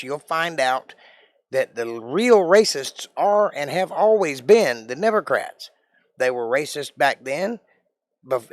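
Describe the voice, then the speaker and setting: A man's voice: on the high side, no room sound. A man, hosting a live stream and ranting emphatically to his chat with rhetorical questions. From Georgia, USA. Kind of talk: casual political rant to chat.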